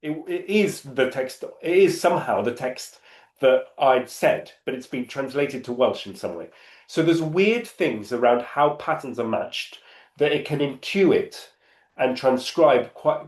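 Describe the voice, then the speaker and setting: A man's voice: medium pitch, lively intonation, a little slow, echoey room. A man answering audience questions on a panel, explaining at length and often restarting his phrases. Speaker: panel speaker. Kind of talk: conversational Q&A answer.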